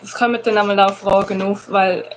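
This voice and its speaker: A woman speaks with a Schaffhausen Swiss German accent that carries some Zurich words. She talks in a halting, conversational way, with many fillers. A faint hiss of noise sits under her voice on the call. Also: mid-range voice, level tone, low-quality recording. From Schaffhausen, Switzerland. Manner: hesitant, with frequent fillers and restarts. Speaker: guest teacher. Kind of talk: casual conversation.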